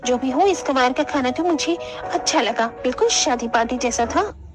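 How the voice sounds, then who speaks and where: A woman's voice: high-pitched, lively intonation, moderate pace, music in the background. A woman voicing a vain, mocking, fashion-obsessed young daughter-in-law in a cartoon, with an affected manner. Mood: catty.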